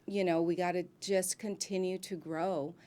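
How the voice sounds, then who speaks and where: A woman's voice: lowish voice, steady tone, moderate pace. Effusive woman chatting with many ums. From grew up in Miami, United States. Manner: warm, effusive and talkative, with frequent ums and restarts. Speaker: actress guest. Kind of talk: casual interview chat.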